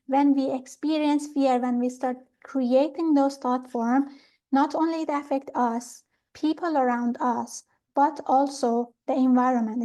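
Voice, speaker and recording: A middle-aged woman from Iran calmly explaining pranic healing ideas in an interview, pausing often with fillers and restarts. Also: high voice. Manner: calm, thoughtful, with many fillers and restarts.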